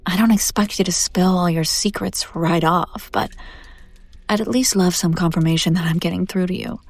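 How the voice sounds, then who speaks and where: A woman playing a seductive, domineering demon in an intimate audio roleplay, teasing and condescending, often slipping into breathy whispers. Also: fairly low pitch, fairly even intonation, moderate pace. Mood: smug, coaxing. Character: seductive, domineering female demon buyer taunting a captive angel. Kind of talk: intimate scripted audio roleplay addressed to the listener.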